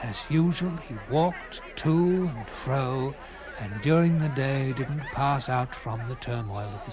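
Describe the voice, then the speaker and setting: A man reading a classic literary story in a dramatic first-person style, with theatrical, deliberate phrasing and swelling emphasis. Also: medium pitch. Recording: clearly noisy with background ambience.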